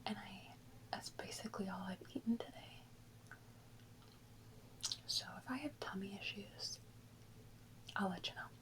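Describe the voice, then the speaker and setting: A woman's voice: mid-range voice, measured pace, pauses often, rough recording. Whispering woman, casual ASMR host. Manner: casual, chatty, playful. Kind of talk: whispered ASMR chat to viewers.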